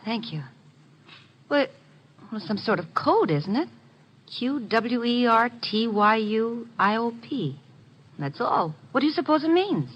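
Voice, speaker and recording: A woman's voice: on the high side, big pitch swings, a little slow, pauses often, slightly rough, full character voice. Coy, lilting young woman. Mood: puzzled. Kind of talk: old-time radio drama acting. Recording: dull vintage radio recording.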